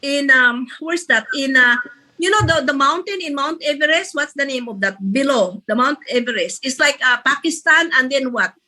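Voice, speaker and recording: A woman's voice: sits high, lively intonation, moderate pace, bright, forceful tone. A woman in her sixties hosting an online group session, chatty and rambling, laughing often. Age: 60s. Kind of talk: casual chat.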